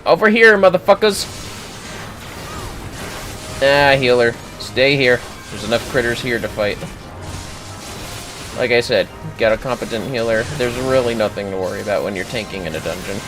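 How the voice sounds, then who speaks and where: A man's voice: mid-range voice, normal speed, pauses often, poor audio. A man livestreaming gameplay, casually explaining tactics step by step with informal, sweary commentary over music. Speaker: livestreamer, gaming host. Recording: music playing behind the voice.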